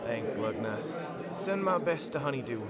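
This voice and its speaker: A man's voice: medium pitch, lively intonation, normal speed, over a noisy crowd, natural voice. A man playing an easygoing, joking stallion worker and proud family man in casual audio drama banter. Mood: happy, proud.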